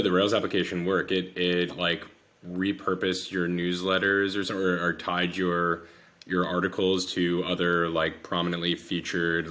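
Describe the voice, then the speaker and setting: A man's voice: deep voice, a bit bright. A man hosting a casual interview, asking long questions that he rephrases tentatively as he goes. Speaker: podcast host interviewer.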